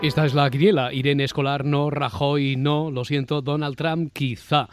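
A man's voice: fairly high pitch, level tone, moderate pace, loud music behind the voice. A man from hosting a casual round-table podcast. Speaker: podcast host.

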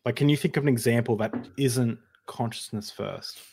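A man's voice: mid-range voice, normal speed, creaky, darkish tone, slight background noise. A man hosting a casual podcast conversation, talking hesitantly with frequent restarts and fillers. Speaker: podcast host.

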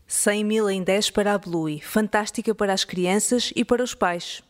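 A woman's voice: medium pitch, moderate pace, dry recording. A woman with a European Portuguese accent hosting a podcast, reading a scripted documentary narration. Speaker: podcast host.

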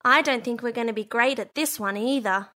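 A woman voicing an eager, kind young girl in a children's audio story, with a warm, enthusiastic manner. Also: on the high side, brisk. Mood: glum, playful.

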